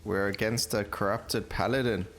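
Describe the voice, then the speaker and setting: Deep gravelly man doing gameplay commentary. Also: steady tone, normal speed, a bit bright. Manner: thinking aloud, conversational, repeats himself. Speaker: gaming video host. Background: game music.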